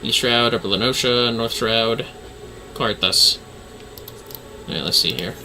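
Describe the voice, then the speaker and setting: A man's voice: medium pitch, unhurried, vocal fry, sharp tone, a little hiss or hum. A man giving casual livestream commentary on a game, with playful, theatrical asides.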